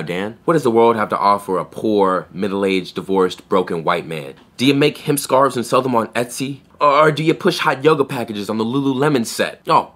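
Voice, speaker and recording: An actor playing a proud, guarded young pet store clerk in an audio drama, speaking bluntly and sardonically. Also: male voice, mid-range voice, bright, forceful tone. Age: young adult. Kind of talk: naturalistic audio drama acting.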